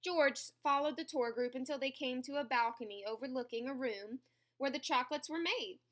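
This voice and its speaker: A woman reads a children's story aloud in a bright, fairly high voice. Her delivery is expressive and animated, with deliberate phrasing meant for young listeners. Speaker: storybook reader.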